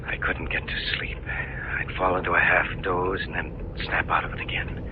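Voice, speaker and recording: An actor playing an uneasy, haunted man narrating an old-time radio mystery in a brooding, hesitant, deliberate way. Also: deep voice, level tone, lightly acted. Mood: brooding, eerie. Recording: noisy muffled old radio recording.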